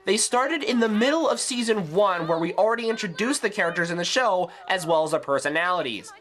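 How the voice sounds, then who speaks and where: A man's voice: high-pitched, expressive, brisk, fairly bright tone. A man delivering a scripted critical review in a ranting, sarcastic, exasperated manner.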